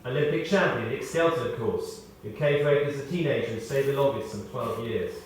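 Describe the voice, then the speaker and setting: A man reading a prepared tribute aloud in short, measured phrases, with wry storytelling. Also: mid-range voice. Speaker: tribute speaker. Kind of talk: read-out memorial tribute with anecdotes. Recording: echoey hall, distant, faint noise.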